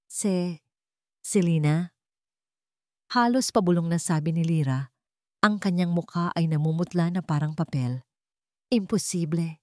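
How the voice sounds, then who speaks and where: A woman's voice: fairly low pitch, normal speed, darkish tone, no room sound. A woman reading scripted narration for a dramatic story, as its storyteller.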